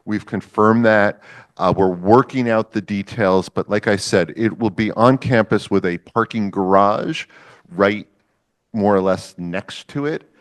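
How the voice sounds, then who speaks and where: A man's voice: fairly low pitch, measured pace. A man hosting a public science lecture, chatting casually with the audience and introducing the speaker. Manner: chatty, with fillers, jokes with the audience. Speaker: event host introducing a lecturer.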